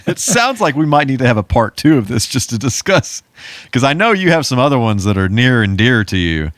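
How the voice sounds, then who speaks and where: A man's voice: mid-range voice, very animated, a little fast, a bit bright, no echo. A man co-hosting a podcast in casual chat, with a jokey, mock-grandiose way of addressing listeners. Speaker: podcast co-host.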